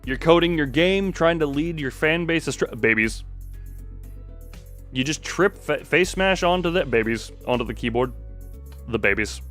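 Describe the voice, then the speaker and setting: A man's voice: fairly high pitch, expressive, normal speed, fairly bright tone, faint music behind. A man casually commentating on a live game stream in an irreverent, joking, sweary way.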